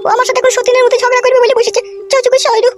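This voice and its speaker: Extremely shrill, rapid nagging cartoon wife. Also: female voice, level tone. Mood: bickering. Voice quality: shrill, squeaky. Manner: nagging, sarcastic bickering. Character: shrill, jealous, quarrelsome village wife. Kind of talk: comic cartoon voice acting.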